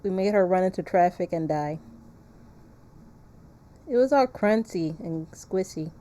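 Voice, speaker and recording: A woman chats casually while streaming a game, joking playfully as she goes. Her voice is mellow and soft-edged, and she takes her time with an unhurried pace. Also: on the low side, animated. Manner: playful, joking, crude humor. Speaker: livestreamer. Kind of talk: casual game-stream chat and reading aloud.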